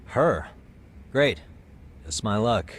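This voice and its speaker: An actor playing a cool, probing private detective with a wry, measured delivery in a video game cutscene. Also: male voice, fairly low pitch, expressive, a little hiss or hum. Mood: wry, composed. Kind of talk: video game cutscene acting, English dub.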